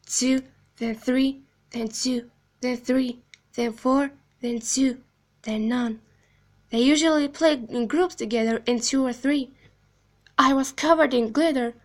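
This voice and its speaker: A woman voicing an eerie animatronic girl clown from a video game, soft-spoken, falsely sweet and sinister, speaking in a slow, deliberate, hushed storytelling manner. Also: sits high, steady tone. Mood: wistful, eerie. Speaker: amateur voice actor. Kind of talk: video game character monologue.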